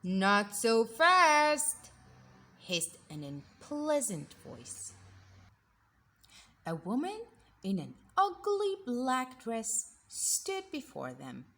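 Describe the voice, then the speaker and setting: A woman reading a children's storybook aloud in an expressive narrating style. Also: fairly high pitch, takes it slowly, creaky. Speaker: storybook reader.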